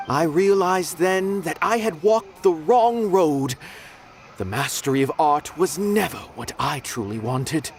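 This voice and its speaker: An actor playing a proud, eloquent connoisseur of art in a video game, philosophical and grandiloquent in deliberate phrases. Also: male voice, fairly high pitch. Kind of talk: dramatic video game voice acting.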